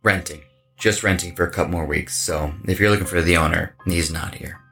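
A man's voice: low voice, fairly even intonation, brisk, vocal fry, pushed and bright, faint music behind, full character voice. A man playing an evasive, cocky metal musician in a horror audio drama, talking in a casual, guarded way.

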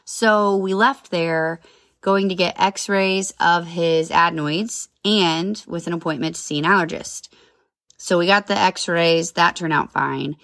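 A woman's voice: fairly low pitch, a little slow, a bit bright, no room sound, clean studio sound. A woman in her thirties hosting a casual solo podcast, chatty and upbeat with lots of asides, sounding a little nasal and congested. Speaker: podcast host.

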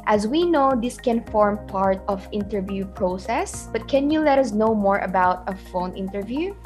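A woman's voice: mid-range voice, lively intonation, moderate pace, darkish tone. Woman hosting a podcast, faint music. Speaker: podcast host interviewing recruiters. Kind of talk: scripted interview hosting.

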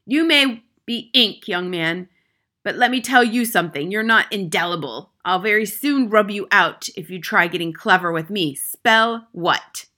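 A woman's voice: mid-range voice, measured pace, sharp tone. A woman voicing a huge, ferocious headmistress in a story, barking and bellowing contemptuous orders and insults. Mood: outraged.

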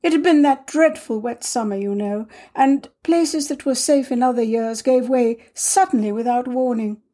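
A woman narrator plays a young girl from a storybook, confiding a tragic tale. Her voice is lifted high and falters dramatically, with careful pauses for effect. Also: dark tone, close to an everyday voice. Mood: grave, dramatic. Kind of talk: storybook character voice in audiobook reading.

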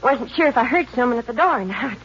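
A woman's voice: fairly high pitch, animated. An actress playing a guarded young woman who evades the lawmen's questions, in a 1950s radio drama. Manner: guarded, evasive, quick denials. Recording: dull lo-fi old radio broadcast with faint hiss.

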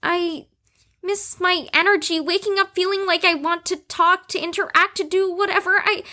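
Fragile, worn-out young female patient for audio drama, played by a woman: very high, bright, pleading. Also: steady tone, moderate pace. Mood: weary, subdued. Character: fragile, exhausted, self-hating young female group-therapy patient.

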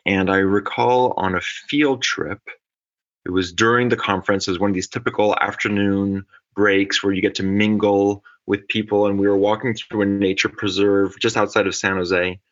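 Man from california, middle-aged, lowish voice, talks things through slowly, kinda muffled audio. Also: level tone, fairly bright tone. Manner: thoughtful, measured, with restarts. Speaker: ecologist guest. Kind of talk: conversational podcast interview. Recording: dull lo-fi audio.

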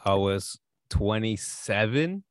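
A man's voice: lowish voice, animated, slow pace, smooth, no echo, clean studio sound. A man in his late twenties co-hosting a podcast, chatting in an easygoing, joking way and laughing often. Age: 20s. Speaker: podcast co-host. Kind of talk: casual chat.

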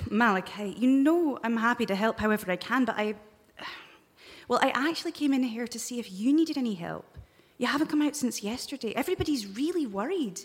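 A woman acts out a concerned astrophysicist in a sci-fi audio drama. Her fairly high voice is hesitant and apologetic, kind but full of doubt. It carries in a reverberant live hall. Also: lively intonation, normal speed, close to an everyday voice. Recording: echoey hall, live stage recording.

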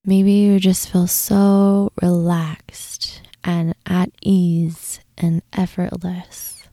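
Female meditation host. Slow, lowish voice, gentle and hushed at times, soothing instructional read. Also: level tone, dry recording, studio quality.

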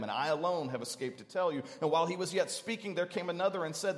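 A man from Tennessee preaching a storytelling sermon, speaking earnestly and dramatically with deliberate pauses. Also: fairly high pitch. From Tennessee, United States. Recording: echoey hall with long reverb.

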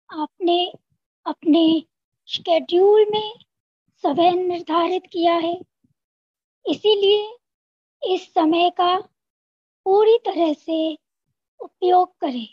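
A woman with an Indian accent narrating a scripted guided meditation, giving gentle instructions in short phrases with long pauses. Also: very high-pitched, no room sound, highs cut off.

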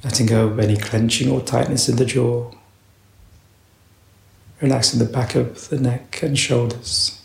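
A man guides a meditation in a mid-range voice with a noticeable gravelly fry. He speaks in slow, measured phrases and leaves long pauses between them. The sound is roomy, with clear echo around the voice. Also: medium pitch, flat delivery. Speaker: meditation teacher.

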